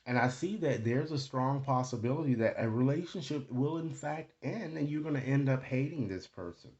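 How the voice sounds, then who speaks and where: A man's voice: medium pitch, lively intonation, normal speed, darkish tone, muffled recording. A man speaking casually as a tarot reader, improvising to the viewer and often checking in with short tag questions. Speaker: tarot card reader, host. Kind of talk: casual, improvised card reading addressed to the viewer.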